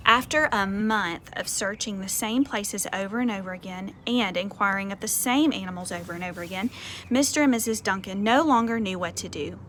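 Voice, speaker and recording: A woman reading a picture book aloud to children outdoors, expressive, with conversational explanatory asides. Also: medium pitch, a little fast. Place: outdoors on a porch. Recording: faint outdoor ambient noise.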